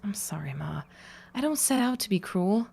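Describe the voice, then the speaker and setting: A woman's voice: mid-range voice, very animated, moderate pace, vocal fry, darkish tone, husky, full character voice. An actress playing a wry, realistic young woman in a period audio drama, dry and blunt, weary of family hopes. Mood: apologetic.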